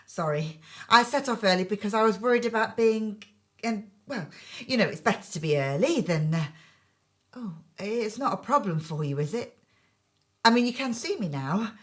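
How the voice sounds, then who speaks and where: An actress playing an anxious, defensive job applicant in her thirties in a stage play, hesitant, apologetic and quick to justify herself. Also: medium pitch, animated, natural voice. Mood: nervous, apologetic.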